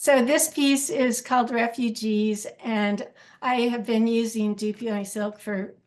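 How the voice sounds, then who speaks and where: A woman artist presenting a textile piece slide by slide, halting and restarting as she explains. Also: a little high, fairly even intonation, darkish tone. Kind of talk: slide presentation of her art.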